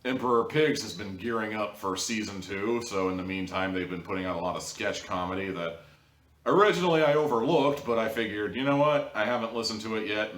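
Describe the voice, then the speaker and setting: Male reviewer, lower register, wry and rambling, casual commentary with mock-dramatic stresses, roomy distant sound. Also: fairly bright tone. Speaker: audio drama reviewer, show host. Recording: roomy echo, distant mic.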